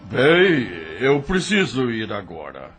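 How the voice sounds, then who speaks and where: A man voicing a grave, kindly older helper who brings news and food in an audio drama, speaking slowly. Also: huge pitch swings, fairly bright tone, slightly rough, full character voice. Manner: slow, grave, low-spoken. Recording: faint music underneath.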